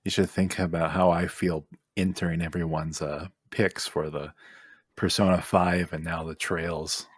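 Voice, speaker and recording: Low-voiced man chatting casually. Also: level tone, unhurried, slightly rough, dry recording, clean studio sound. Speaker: podcast co-host. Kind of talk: casual banter and opinion.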